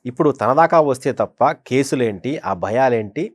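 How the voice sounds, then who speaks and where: A man's voice: medium pitch, expressive, normal speed, no echo. Emphatic male political analyst, dry sound. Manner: emphatic, repeats phrases for stress. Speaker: senior journalist, political analyst. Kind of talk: conversational political commentary.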